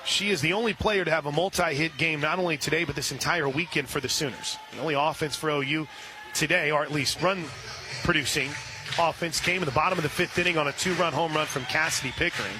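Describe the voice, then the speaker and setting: An American man working as a radio play-by-play announcer, delivering softball commentary and sponsor reads in clipped, punchy phrases. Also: on the high side, fast talker, noisy background.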